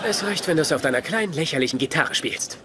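Man acting an animated, wry sitcom boyfriend. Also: on the high side, fast talker, natural voice. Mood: eager, wry. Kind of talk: German-dubbed sitcom acting. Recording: TV sitcom soundtrack, loud crowd and noise.

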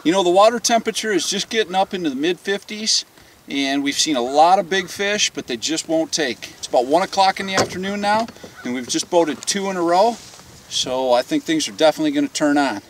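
A man's voice: on the high side, brisk, a little hiss or hum. A middle-aged man hosting a fishing video, chatting and cheering from a small boat on a lake. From Canada. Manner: excited, cheering on a fishing partner. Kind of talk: casual outdoor fishing chat.

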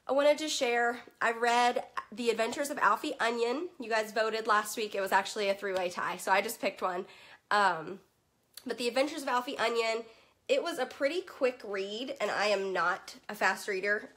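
A woman's voice: a little high, moderate pace, fairly bright tone. A young woman from Virginia who is losing her voice to allergies, chatting upbeat and casual, with many "um"s, to a live group from a room at home in front of bookshelves. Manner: chatty and upbeat, many "um"s, greets viewers by name. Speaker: children's book consultant and host. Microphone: webcam at desk distance.

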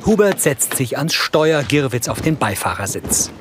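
A man's voice: medium pitch, very animated, normal speed, heavy background noise. A man narrating the scenes of an audio drama in scripted description.

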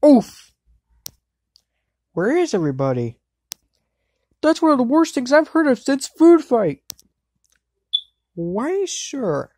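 A woman voice acting as a spirited, excitable anime girl for an amateur dub, exclamatory and theatrical. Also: high-pitched, slow pace, long pauses, no room sound. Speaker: amateur voice actor for an anime fandub. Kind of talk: acted cartoon dub clips.

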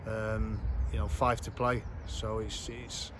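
A middle-aged football manager giving a plain, measured post-match interview outdoors at a ground, with many fillers and repeats. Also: male voice, fairly low pitch, little pitch movement. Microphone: camera framed at chest height. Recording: loud outdoor wind noise.

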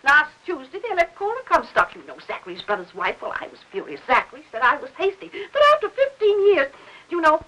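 A bright, high-voiced middle-aged woman chatters and fusses like a well-meaning aunt. She is talkative and gossipy, in the manner of an early-fifties Hollywood melodrama, on an old film soundtrack. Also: sing-song, brisk, lo-fi sound, exaggerated voice. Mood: indignant, chatty.

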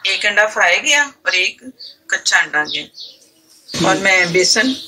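A woman's voice: medium pitch, expressive, slow pace, noisy background. A woman gives a casual, chatty cooking demonstration in her home kitchen. She explains each step as she works and often restarts her sentences. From Pakistan. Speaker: cooking channel host. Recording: clear kitchen clatter behind. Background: pots, pans and clicks.

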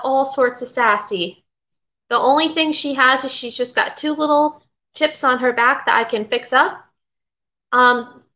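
A woman's voice: high voice, pushed and bright. A middle-aged woman from Florida hosting a casual live stream from her home kitchen, rambling and reading out viewer comments. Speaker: vintage seller hosting a live haul. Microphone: webcam at desk. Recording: slightly dull lo-fi webcam audio.

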